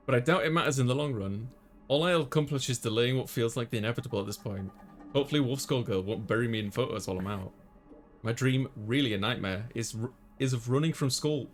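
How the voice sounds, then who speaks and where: A man doing a let's play, reading a visual novel aloud and dropping in casual commentary. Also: mid-range voice. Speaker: let's player.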